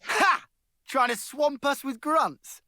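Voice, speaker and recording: An actor playing a cocky, impatient young fighter in a video game, with brash, punchy delivery. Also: male voice, very high voice, animated, slow pace, sharp tone, no echo, full character voice. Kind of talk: video game cutscene acting.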